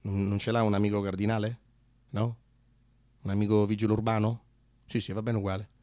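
A man's voice: fairly low pitch, level tone, a little fast, mellow, dry recording, voice pushed down, natural voice. Petty Church official played by a male actor: officious, exasperated, stammering brush-offs, satirical radio theatre, dry close sound. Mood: officious, wheedling. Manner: stammering, repetitive, officious, mock-apologetic.

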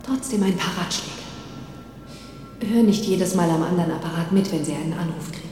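A woman playing the gentle, angelic ghost of a loving mother in an audio drama, tender, reassuring and solemn. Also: on the low side, a little fast, natural voice. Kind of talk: film audio drama acting. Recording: echoey hall, distant, faint noise.

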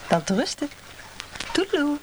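An actress playing a pushy, flirtatious chambermaid in a radio play, chirpy and insistent. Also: female voice, high-pitched, big pitch swings, moderate pace. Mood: insistent, suggestive. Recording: noisy background.

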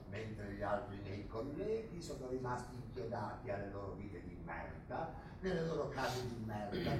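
A menacing military officer of a dictatorship, boastful and full of scorn. His delivery is declamatory and pompous, at a middling pitch. It reaches the listener from a distance, through heavy echo and clear background noise. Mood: self-important, scornful. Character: menacing, boastful Argentine army captain of the dictatorship. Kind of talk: theatrical stage drama acting. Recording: very echoey distant stage recording, noisy.

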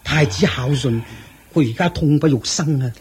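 A man's voice: fairly high pitch, moderate pace, heavy background noise, lightly acted. A man with a Hong Kong Cantonese accent playing a loyal, cautious retainer disguised as a guard in a radio drama, earnest and urgent. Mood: urgent, pleading.

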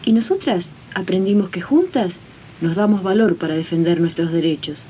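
Felipa, mellow Argentine maid played by a woman, dull recording. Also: lowish voice, lively intonation, moderate pace, lightly acted. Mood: friendly. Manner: colloquial, companionable, addresses listeners. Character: Felipa, friendly, spirited woman domestic worker and organizer. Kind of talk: 1980s community radio drama acting. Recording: dull, noisy archive radio recording.

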